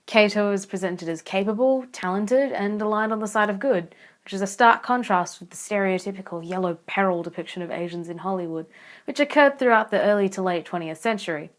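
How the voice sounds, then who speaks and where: A woman's voice: medium pitch, brisk. A young woman presenting a scripted analysis as a student narrator. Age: young adult. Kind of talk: scripted video essay narration.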